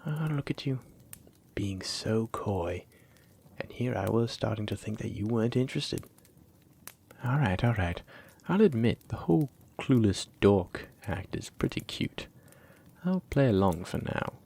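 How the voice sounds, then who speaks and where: A man's voice: on the low side, a little mellow, heavily acted. A man playing a flirty, teasing dragon-blooded librarian in a roleplay audio drama, coy and persistent, lingering on his phrases. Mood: amused, coy. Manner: coy, teasing, drawn-out phrases with breathy asides.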